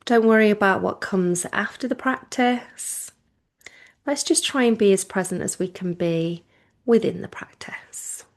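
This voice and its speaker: A woman teaching yoga nidra, giving informal guided relaxation instructions in a gentle, reassuring manner with unhurried phrasing and pauses. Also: medium pitch.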